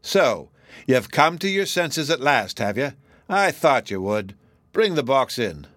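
Casting: man voicing a sputtering, self-important gentleman, mid-pitched. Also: very animated, a little slow, no echo. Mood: smug.

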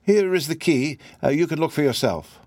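A man's voice: fairly high pitch, lively intonation, moderate pace, darkish tone, no echo, studio quality, pitched above the performer's own voice. A man voicing an elderly, scholarly professor in an audiobook, courteous and philosophical, speaking hesitantly with small stammers. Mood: defensive, hesitant. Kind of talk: audiobook character voice.

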